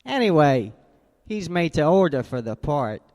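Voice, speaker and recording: A male actor plays a cool, wisecracking private eye in a radio crime drama. His voice is a little high, clipped and hard-nosed, ringing in a reverberant space. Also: very animated, a little slow, darkish tone. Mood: pressing, insistent. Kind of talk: hardboiled radio drama acting.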